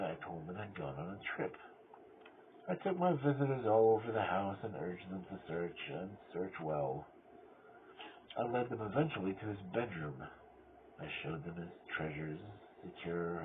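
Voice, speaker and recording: A man playing a cunning, mad murderer who confesses in a theatrical gothic horror reading, swinging between hushed control and frenzy. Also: low-pitched, expressive, normal speed. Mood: smug, controlled. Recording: tinny band-limited audio with clear noise.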